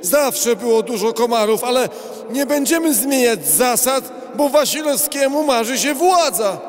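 An elderly beetle chairman for an audio play, extremely high and slow. He is folksy and condescending, as if everyone were a child. Long reverb surrounds the voice. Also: expressive. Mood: dismissive. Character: patronising old male beetle council chairman. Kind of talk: audio drama acting.

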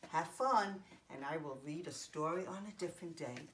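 A woman in her fifties reading a picture book aloud to young children, expressively, pausing to explain things simply. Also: deep voice, a bit bright, slight background noise. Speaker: children's story time host. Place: home living room, indoors.

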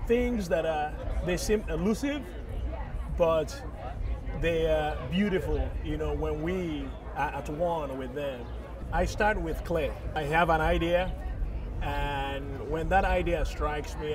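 Higher-pitched Nigerian man, busy crowd behind. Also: takes it slowly. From Eastern Nigeria. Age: middle-aged. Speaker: sculptor, interviewee. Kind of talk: informal interview about own work. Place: outdoor art fair. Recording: outdoor crowd noise, mic at a distance.

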